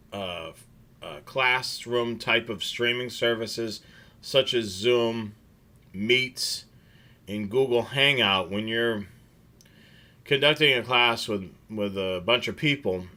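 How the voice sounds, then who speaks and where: A man's voice: fairly low pitch, pushed and bright. A man hosting a casual livestream chat, rambling with many fillers and restarts. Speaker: scanner show host.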